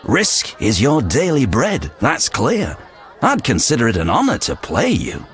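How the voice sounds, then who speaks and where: A wheedling dice gambler from a fantasy game, in a medium-pitched voice that swoops dramatically. He is courteous and flowery, with an insinuating manner. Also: huge pitch swings, unhurried, a bit bright, faint music behind. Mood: coaxing. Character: smooth-talking, wheedling male dice gambler. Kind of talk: video game dialogue acting.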